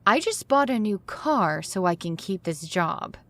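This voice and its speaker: A woman's voice: mid-range voice, sing-song, unhurried, a bit bright. An actress playing a flustered, harried woman in an audio drama, speaking expressively. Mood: hurt, angry. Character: flustered, harried talk show woman, often late.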